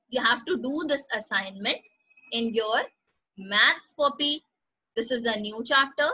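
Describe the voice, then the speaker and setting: A woman's voice: on the high side, bright, forceful tone, rough recording. A female teacher, leading an online class, encouraging students by name and repeating instructions. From Pakistan.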